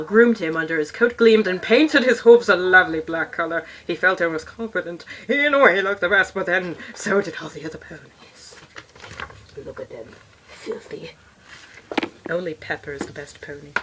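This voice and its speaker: A woman's voice: on the low side, expressive, normal speed, natural voice. A woman playing a pompous, easily irritated male alien troll who reads a storybook aloud in a stilted, muttering way for comedy. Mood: disdainful. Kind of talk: comedic in-character storybook reading. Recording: noisy amateur recording with clicks.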